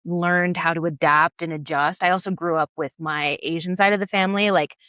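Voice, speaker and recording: A woman's voice: on the low side, fairly even intonation, brisk, a bit bright, clear, resonant tone, no echo. An American woman hosting a casual, conversational interview in an informal way, with the odd chuckle. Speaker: interview host.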